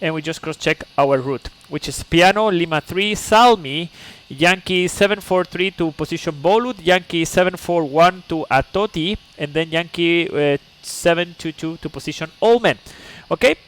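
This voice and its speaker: A man's voice: on the high side, normal speed, hardly any pauses, fairly bright tone. A man from Chile, speaking English, streams a flight sim live. He explains each step patiently, checks in with "okay?", and chats with viewers. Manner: chatty asides to viewers.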